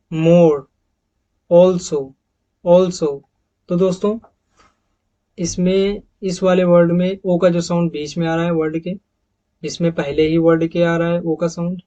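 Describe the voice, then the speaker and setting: An Indian man with a slightly high voice teaches English pronunciation over a slide deck, addressing viewers as friends. He says each example word several times in a row. The recording is dull and lo-fi. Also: little pitch movement, dark tone. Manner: repeats model words slowly, addresses viewers as friends. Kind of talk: phonetics lesson over slides.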